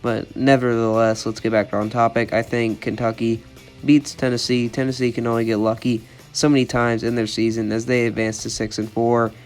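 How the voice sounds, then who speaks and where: Flat-voiced congested young man. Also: mid-range voice, moderate pace, soft background music. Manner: monotone, opinionated, repeats "I think". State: congested from a cold. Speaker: sports channel host. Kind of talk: college football schedule predictions.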